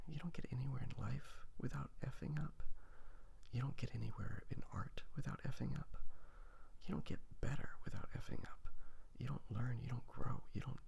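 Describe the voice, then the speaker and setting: A man chatting casually in a soft, whispery ASMR style, rambling informally and gently self-deprecating in a creaky voice. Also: mid-range voice, moderate pace. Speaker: ASMR host drawing while chatting. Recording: phone recording with soft clicking.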